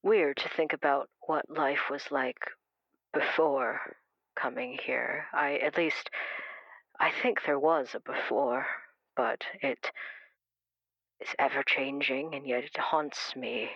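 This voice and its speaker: A woman playing a very old, weary, haunted librarian in an improvised audio drama, speaking haltingly and gently with long pauses and a breathy voice that often sinks to a whisper. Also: extremely low pitch, fairly even intonation, dry recording, highs cut off, heavily acted. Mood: wistful. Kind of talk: improvised audio drama, caller to a radio show.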